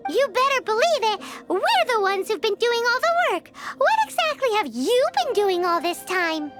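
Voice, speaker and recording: A woman voicing a small, excitable, childlike fairy companion in a video game, squeaky and brash. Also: moderate pace. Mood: indignant, puzzled. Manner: refers to self in third person, brash. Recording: loud game music behind.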